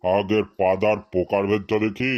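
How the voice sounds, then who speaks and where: A pompous, bossy quack doctor from a comedy cartoon, acted by a man. His voice sits on the low side and he drops English words in as he lectures. The sound is roomy and somewhat dull. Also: level tone, moderate pace, darkish tone, natural voice.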